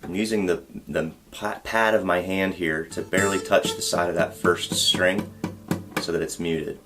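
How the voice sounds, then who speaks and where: Deep-voiced man teaching guitar. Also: moderate pace. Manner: relaxed, patient step-by-step instruction, counting beats aloud. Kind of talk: guitar tutorial. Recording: loud guitar under the voice. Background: acoustic guitar playing.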